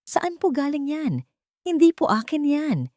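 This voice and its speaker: An earnest accused man voiced by a woman in an audio drama, polite and frightened. The pleading lines lift higher in pitch, in a dry, close recording. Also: very animated, measured pace, a little mellow, no room sound. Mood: pleading, alarmed. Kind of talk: dramatized audio story dialogue.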